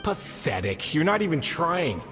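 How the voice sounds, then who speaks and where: An actor playing a scornful, belittling fighter in a video game, with a sneering, cutting manner. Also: male voice, fairly high pitch, sing-song, measured pace, a bit bright, exaggerated voice. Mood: contemptuous. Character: scornful, contemptuous man belittling a weaker fighter. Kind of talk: scripted video game dialogue. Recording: long hall reverb, music behind.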